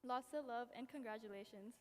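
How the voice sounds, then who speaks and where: A woman's voice: fairly high pitch, a little fast. A young woman giving an earnest, lightly self-deprecating tribute speech to a friend at a dance recital. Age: young adult. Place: event hall. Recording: long hall reverb.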